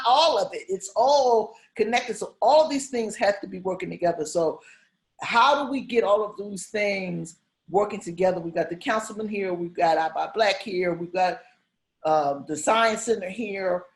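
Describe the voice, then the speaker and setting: A woman with a medium-pitched voice moderates a panel over a video call. She talks informally and emphatically, circling back to key phrases as she goes. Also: roomy sound, rough recording.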